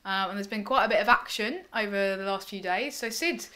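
A woman with a croaky, cold-affected voice, hosting a casual sports podcast discussion. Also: medium pitch, a little fast, bright, forceful tone. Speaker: podcast host.

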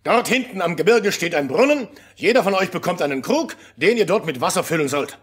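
Ein Schauspieler spielt in einem Märchenhörspiel einen eitlen, hinterlistigen alten König, pompös und theatralisch. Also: male voice, sits high, animated, a little fast, fairly bright tone, breathy edge, exaggerated voice. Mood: commanding. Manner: pompous, theatrical. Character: vain, scheming old fairy-tale king, mocking then whining. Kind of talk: radio play fairy tale acting.

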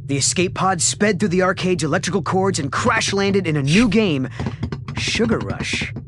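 A man narrating a children's story from a script, with expressive storytelling emphasis. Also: high-pitched, moderate pace, slight background noise.

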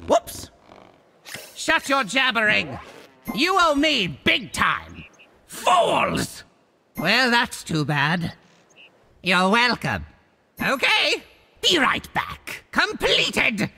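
A man's voice: very high-pitched, creaky, pushed and bright, a little hiss or hum, heavily acted. A man voicing a boastful, theatrical cartoon monster villain for a video game, with a hammy, swooping delivery. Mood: mocking.

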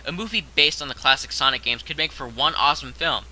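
A man's voice: a little high, fast talker, pushed and bright. A young man narrating a scripted top-ten countdown as host, in a conversational way that addresses the viewer directly.

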